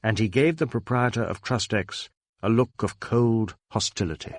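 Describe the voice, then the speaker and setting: A man's voice: lowish voice, normal speed, dry recording, clean studio sound. A man reading a crime novel as a scripted, dramatic narration. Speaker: audiobook narrator.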